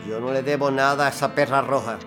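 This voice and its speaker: An actor playing a gruff, argumentative, foul-mouthed husband in a Spanish Civil War audio drama. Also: male voice, medium pitch, steady tone, quick pace, over music, close to an everyday voice. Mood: stubborn contempt. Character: stubborn, foul-mouthed right-wing husband in 1930s war.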